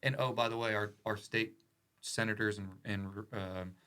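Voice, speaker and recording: A man's voice: on the low side, fairly even intonation, moderate pace, bright, forceful tone, clear, resonant tone. A man talking casually and with opinion on a podcast, informal and emphatic, repeating phrases for stress. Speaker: podcast guest, attorney.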